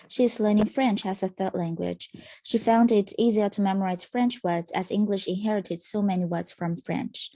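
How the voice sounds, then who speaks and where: Mellow Mandarin-native woman, dry close sound. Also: mid-range voice, no room sound. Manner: formal, reading from prepared text. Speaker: student presenter. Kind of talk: scripted academic presentation.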